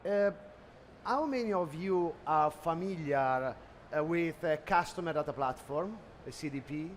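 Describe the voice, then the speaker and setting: A middle-aged man with an Italian accent, giving a business presentation at a trade expo and often pausing on "uh". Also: fairly high pitch, a little hiss or hum. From Italy. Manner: hesitant, many "uh" fillers. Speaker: conference speaker. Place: expo hall stage.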